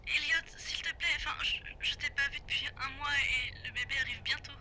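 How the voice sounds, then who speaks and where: Female actor as pregnant young wife, very bright sharp voice, sardonic yet affectionate, casual, over a radio line. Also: high-pitched, fairly even intonation, brisk, a little hiss or hum. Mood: tender, pleading. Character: pregnant young wife, teasing, tender and sarcastic. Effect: private radio line. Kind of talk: naturalistic audio drama dialogue.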